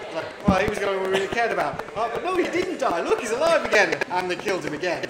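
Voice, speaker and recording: Casual male interviewer, high voice, echoey and very noisy pub recording. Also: big pitch swings, racing, a loud crowd. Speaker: podcast host. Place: busy pub, indoors. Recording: echoey pub, loud background noise.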